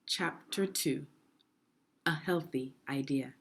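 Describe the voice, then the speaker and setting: A woman reading a children's story aloud in a deliberate, expressive teaching manner, putting questions to the listener. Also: deep voice, creaky, a little hiss or hum. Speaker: education consultant reading a children's book aloud.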